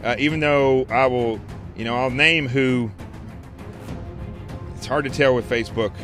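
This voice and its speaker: A man hosting a political commentary livestream, preaching emphatically and repeating phrases, his voice going hoarse. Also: mid-range voice, measured pace, a bit bright, faint music behind. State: losing his voice. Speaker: show host.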